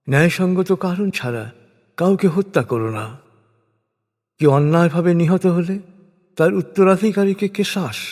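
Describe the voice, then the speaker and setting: A man reads translated scripture as a scripted narrator, in a solemn style with measured phrases and deliberate pauses. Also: a little high, a little mellow. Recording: long reverberant hall echo.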